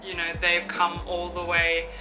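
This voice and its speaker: A woman in her twenties talks slowly and casually, filling pauses with ums as she thinks aloud. Her voice sits a little low. Music plays clearly underneath. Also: monotone. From Australia. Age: 20s. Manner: chatty, thinking aloud with fillers. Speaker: YouTuber. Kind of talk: casual Q&A vlog. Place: room with an open window. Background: background music.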